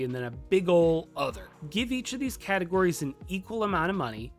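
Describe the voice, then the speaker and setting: A man's voice: a little high, fairly bright tone. A man hosting a video tutorial, reading scripted instruction in a methodical, explanatory way. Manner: methodical explaining, lists items clearly. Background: background music.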